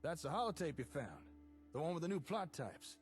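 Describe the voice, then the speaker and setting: Man acting an animated, folksy tinkerer. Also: on the high side, a little fast, soft background music. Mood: enthusiastic. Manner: folksy, chatty, drawn to technical explaining. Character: easygoing, enthusiastic male tinkerer in a post-apocalyptic settlement. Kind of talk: conversational video game dialogue.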